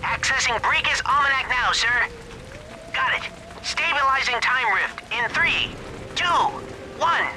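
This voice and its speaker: An eager robot assistant in a video game. He is dutiful and very high-pitched. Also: expressive, unhurried, low-quality recording. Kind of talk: video game cutscene acting.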